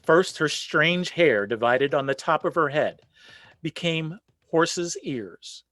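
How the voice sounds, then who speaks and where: A man's voice: fairly high pitch, expressive, slow pace, a bit bright, no echo. A man narrating a folk legend as the storyteller in a scripted radio play. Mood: storytelling.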